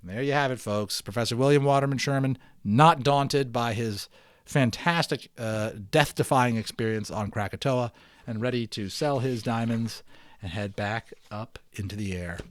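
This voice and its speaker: Expressive man reading aloud, dry sound. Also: mid-range voice, normal speed, no room sound. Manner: expressive, folksy. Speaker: reader and host of a read-aloud series. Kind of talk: dramatic storybook read-aloud with casual asides.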